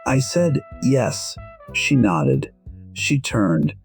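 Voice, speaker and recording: A man playing a gentle musician in his sixties, quietly and wistfully telling his own story as audio drama narration. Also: medium pitch, sing-song, very slow, vocal fry, soft background music, exaggerated voice. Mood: reflective, tender.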